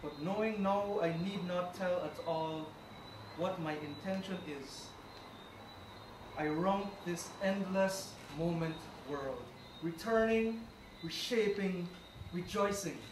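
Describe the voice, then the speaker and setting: A man reciting a poem in a deliberate, declamatory way. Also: high-pitched. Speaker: poetry reader, linguistics lecturer. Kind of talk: poetry recital. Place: indoor recital event room. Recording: distant mic, echoey room, loud noise.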